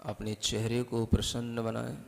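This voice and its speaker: A man with an Indian accent leading a guided meditation as a spiritual teacher, giving calm, deliberate instructions with long pauses. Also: medium pitch, creaky. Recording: long hall-like reverb.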